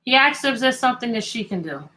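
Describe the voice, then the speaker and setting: A woman's voice: on the high side, lively intonation, quick pace, sharp tone. A woman teacher hosting an interactive children's read-aloud, telling the story expressively and putting repeated questions to her listeners.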